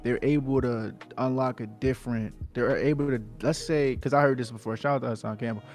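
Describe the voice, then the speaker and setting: A man with a New Jersey accent holds forth on a casual podcast. His voice is gravelly and sits at an everyday pitch. He argues insistently, coming back to the same point over and over, with faint music playing behind him. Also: steady tone, a little fast, a little mellow. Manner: insistent, repeats "I'm telling you, bro". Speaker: podcast co-host.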